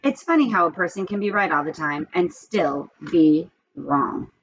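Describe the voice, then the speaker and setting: A woman's voice: fairly low pitch, expressive, normal speed, highs cut off, a little hiss or hum. A woman reading a children's storybook aloud, expressively and with asides, speaking straight to young listeners.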